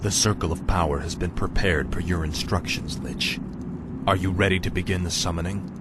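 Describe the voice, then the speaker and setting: A man's voice: steady tone, fairly quick, heavy background noise, natural voice. A man playing an arrogant, cruel young death knight in a video game, curt, mocking and impatient. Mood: brisk, impatient. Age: young adult. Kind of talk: video game cinematic acting. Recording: loud music and rumbling ambience behind.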